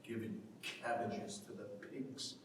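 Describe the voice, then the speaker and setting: A man playing a stern, proud, gruff farming patriarch in a declamatory stage reading. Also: fairly low pitch, little pitch movement, slow pace, slight background noise. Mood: gruff, complaining. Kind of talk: dramatic stage reading of an Irish rural play. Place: large reverberant hall, recorded from afar. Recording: echoey hall, distant microphone.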